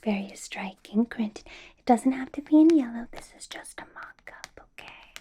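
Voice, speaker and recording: A woman voicing a possessive, doting, commanding ancient vampire boss in an ASMR roleplay, in a breathy whisper with coaxing hums. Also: fairly high pitch, moderate pace, mellow.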